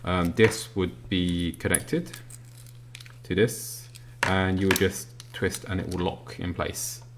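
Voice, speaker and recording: A man's voice: low voice, steady tone, slow pace. A man giving a casual, unscripted product review in a hesitant, matter-of-fact way, indoors at a table. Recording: noisy home recording with clicks.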